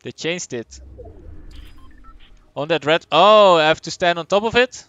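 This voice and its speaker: A young man from the Netherlands with a Dutch accent, chatting casually as he streams a game, answering chat and thinking out loud. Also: on the high side, measured pace, smooth, no room sound, lo-fi sound. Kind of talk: live gameplay commentary, reading chat.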